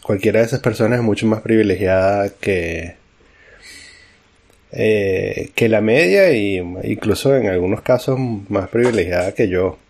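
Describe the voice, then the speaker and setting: Low-voiced man, halting and thoughtful. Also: darkish tone. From Venezuela. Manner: halting, thoughtful, searching for words. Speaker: podcast co-host. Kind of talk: casual discussion.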